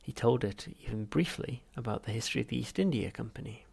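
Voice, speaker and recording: A man narrates a comic novel for an audiobook. His voice sits at a middling pitch, thick with fry and rough-edged breathiness, in a scripted storytelling style. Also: expressive, quick pace, darkish tone. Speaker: audiobook reader.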